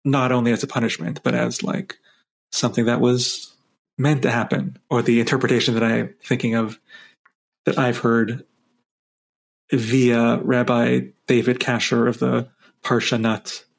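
A man's voice: mid-range voice, little pitch movement, a little slow, creaky. A man co-hosting a podcast, reflecting on the Torah portion in loose run-on phrases with frequent "like". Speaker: podcast co-host. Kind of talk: casual discussion of the weekly Torah portion.

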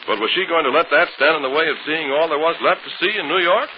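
A man's voice: a little high, fast talker. A male radio announcer reading in a folksy, chummy 1930s commercial style. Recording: muffled, noisy old radio recording.

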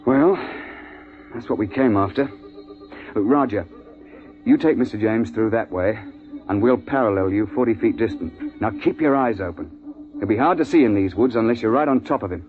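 A brisk, seasoned hunting guide acted by a man from a 1950s radio serial. He speaks with clipped old-boy authority and an exasperated edge. The sound is dull and dated, with clear music behind it. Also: fairly low pitch, close to an everyday voice. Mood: cautious, commanding. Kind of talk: 1950s radio drama acting and narration.

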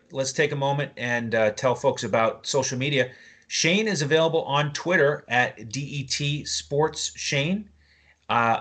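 A man's voice: medium pitch, a bit bright. A man with an American accent hosting a fantasy sports podcast in a conversational style.

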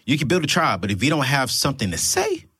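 A man's voice: medium pitch, quick pace, slightly rough, no echo. A man from South Carolina, hosting a casual podcast conversation and cheering his guest on. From Darlington, South Carolina. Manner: animated, encouraging interjections. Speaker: podcast host.

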